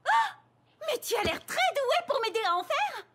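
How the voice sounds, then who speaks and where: A woman's voice: very high voice, big pitch swings, normal speed, a bit bright, full character voice. A woman voicing a playful, scatterbrained young party pony with a bouncy, sing-song delivery in a French cartoon dub. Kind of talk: animated children's cartoon dub. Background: prominent music.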